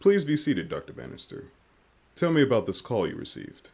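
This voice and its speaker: A man playing a guarded, masked mission commander in an audio drama, speaking in a formal, measured, commanding way. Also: mid-range voice, wildly swooping pitch, creaky, darkish tone, exaggerated voice. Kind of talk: scripted audio drama acting.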